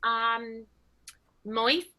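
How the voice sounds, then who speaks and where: This is an arch lady star of the 1920s in a comic radio play. Her voice is high and bright. She chooses words haltingly, with coy, careful politeness. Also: heavily acted. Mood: polite, hesitant.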